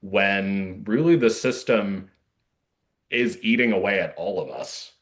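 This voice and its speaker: A man with a fairly low voice gives a casual, reflective interview as a writer. He talks in an earnest, discursive way, circling through asides as he explains himself. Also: lively intonation, takes it slowly. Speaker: playwright being interviewed.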